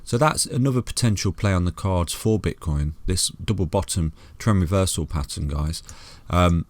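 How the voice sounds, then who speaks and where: A man's voice: low voice, normal speed, no room sound. A man with a soothing voice walks through crypto charts in a casual, chatty way, calling listeners "guys" and "mate" and restarting phrases often.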